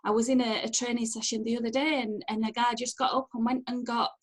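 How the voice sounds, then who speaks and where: A middle-aged woman with a Sheffield accent giving an informal online training talk from home, chatty, animated and direct. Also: a little high, quick pace. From Sheffield, England. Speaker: empowerment coach giving online networking training. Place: at home on a video call. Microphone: video call, wearing headphones.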